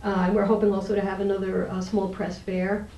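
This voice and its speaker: Female interview guest, dark mellow tone, vocal fry, articulate, earnest, echoey room. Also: medium pitch, level tone, a little fast. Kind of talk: thoughtful interview conversation. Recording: roomy, distant microphone.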